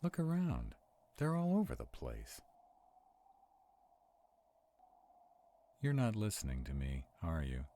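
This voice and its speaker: Man playing a very deep-voiced sarcastic vampire. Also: huge pitch swings, normal speed, mellow, exaggerated voice. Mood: curious, musing. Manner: sarcastic, conversational. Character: sarcastic male vampire, protective of a young demon companion. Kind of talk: audio roleplay acting.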